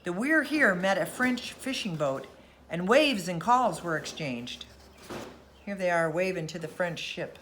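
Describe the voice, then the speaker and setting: A woman reading a children's storybook aloud as bedtime narration, in an expressive storytelling manner. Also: fairly low pitch, unhurried, a little hiss or hum.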